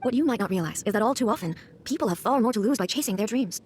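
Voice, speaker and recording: An imperious, solemn goddess from a video game. Her voice sits on the high side and her formal, cold lines come at a rapid clip. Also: animated, darkish tone, slightly rough. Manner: formal, commanding, measured phrasing. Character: imperious female thunder deity, cold, solemn, aloof. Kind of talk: video game cutscene acting.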